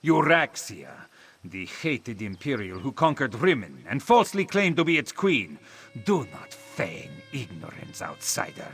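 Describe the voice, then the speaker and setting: A man's voice: mid-range voice, big pitch swings, unhurried, a bit bright, husky, heavily acted. An actor voicing a suspicious, scornful man in a fantasy video game, accusing and exasperated in manner. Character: suspicious, scornful male local of a fantasy land. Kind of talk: video game character acting.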